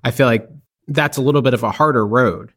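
A man's voice: mid-range voice, a little fast, fairly bright tone. A man hosting a casual interview, chatty, using many fillers and laughing easily. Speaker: podcast host.

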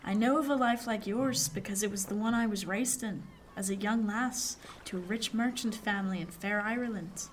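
Woman as seafaring gentleman, radio drama, measured, formal, grave, mid-range pitch, noisy ambient backdrop. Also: lightly acted. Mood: calm, candid. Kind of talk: period radio drama acting.

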